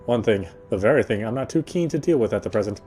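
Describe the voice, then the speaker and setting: A man's voice: fairly low pitch, expressive, quick pace, warm tone. A man playing a game on stream, reading its story narration aloud and sometimes correcting his slips. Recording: music behind the voice. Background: game music.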